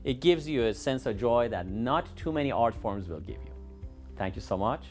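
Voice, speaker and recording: A man's voice: medium pitch, animated, normal speed, over loud music. A man hosting a design show, presenting and interviewing in an effusive, complimentary way. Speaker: TV show host.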